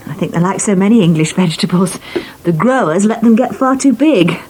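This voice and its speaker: An actress playing a brisk, practical and mildly sceptical farmer's wife in a British radio soap. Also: female voice, mid-range voice, animated, a little mellow, natural voice. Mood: practical, doubtful. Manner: brisk, no-nonsense, politely questioning. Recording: noisy old radio broadcast archive.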